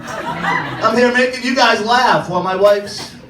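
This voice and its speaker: A man's voice: high voice, a little slow, music in the background. An older man from doing a profane stand-up set with crowd work at a comedy club. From Boston, Massachusetts. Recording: roomy club audio, mic far off, loud crowd laughter. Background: loud audience laughter and club noise.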